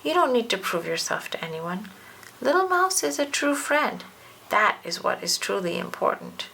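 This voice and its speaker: A concerned mother goddess from a storybook, played by a woman reader, speaks quietly and soothingly. There is creak in the voice and faint noise behind it. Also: mid-range voice, sing-song, normal speed, heavily acted, poor audio.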